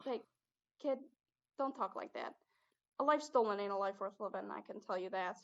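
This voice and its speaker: A woman's voice: mid-range voice, moderate pace, creaky, fairly bright tone. Young woman acting jaded male ghost, streetwise drawl of slang, student stage play, muffled lo-fi sound. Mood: gentle, resolute. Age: young adult. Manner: streetwise, drops grammar, calls listener kid. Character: jaded male spirit possessing a teenage girl's body.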